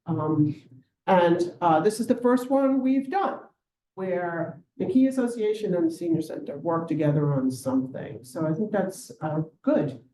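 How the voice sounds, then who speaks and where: A woman representing a community association, speaking to a live audience in a reassuring, halting way with many pauses. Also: on the low side, sing-song, dark tone. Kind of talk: informal remarks to a live audience. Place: community meeting room. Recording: roomy room sound from a distance.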